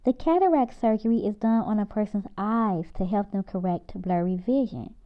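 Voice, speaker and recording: A woman's voice: medium pitch, normal speed, close to an everyday voice. Woman reading a firm storybook mom, slightly muffled. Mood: encouraging, instructive. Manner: instructive, firm. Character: encouraging but firm mother in a storybook.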